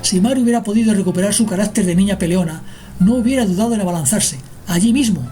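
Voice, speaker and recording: A woman's voice: mid-range voice, fast, warm tone. A woman narrating a scripted radio drama as the storyteller. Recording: music behind the narration.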